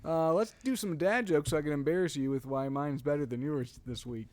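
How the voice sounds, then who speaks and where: A young dad co-hosting a casual podcast, his voice sitting a little high for a man. He teases his friend and laughs easily as he talks. The sound is dry and close, with no room around it. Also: fairly quick, a little mellow, dry recording. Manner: laughs often, teasing. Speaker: podcast co-host. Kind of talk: casual chat.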